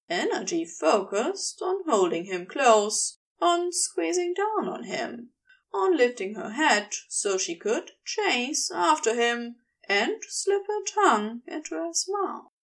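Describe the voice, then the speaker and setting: A woman narrating fiction expressively, with a measured storytelling delivery and a creaky voice. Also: fairly high pitch, a bit bright, distant mic. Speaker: podfic reader.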